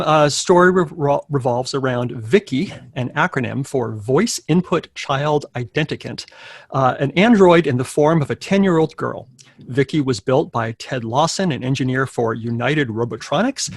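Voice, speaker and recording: Gravelly man hosting a table read. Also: mid-range voice, no echo, clean studio sound. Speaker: host and narrator of a table read. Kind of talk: reading introduction and stage directions.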